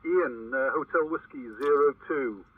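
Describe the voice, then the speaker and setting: A man relaying calls casually over CB radio in a halting, hesitant way. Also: medium pitch. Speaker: CB radio operator. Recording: tinny, very noisy CB radio transmission.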